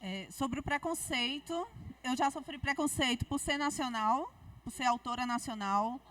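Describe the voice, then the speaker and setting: A woman's voice: high voice, normal speed, dry recording, distant mic. A woman from Paraíba with a Paraíba Brazilian accent, an author speaking frankly on a book fair panel. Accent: Paraíba Brazilian Portuguese. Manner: frank, animated storytelling.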